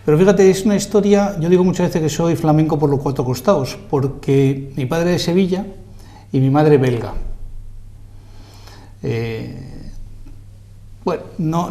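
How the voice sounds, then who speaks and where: A man with a Madrid accent, a guest telling stories about his life in a relaxed, modest way in a TV studio interview. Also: on the high side. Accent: Madrid Spanish. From Spain, Madrid. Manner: self-deprecating storyteller, modest. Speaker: guest, lawyer and journalist.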